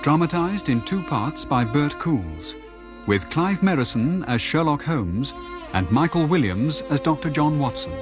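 An English man announces a radio drama from a script. His pitch is slightly high and moves in a lively way. Violin music plays clearly behind him. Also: a little slow.

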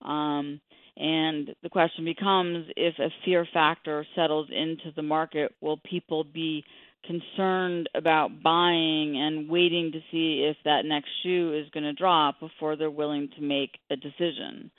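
A middle-aged woman explaining things as an expert guest in a radio interview, with measured, hesitant phrasing. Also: sits low, steady tone, dry recording. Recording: thin phone-line sound.

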